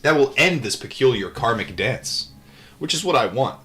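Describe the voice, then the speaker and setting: A man's voice: medium pitch, animated, normal speed, fairly bright tone. A man hosting a live read-aloud, moving between reading a book and casual, irreverent, rambling asides. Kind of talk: audiobook-style reading with casual off-the-cuff asides.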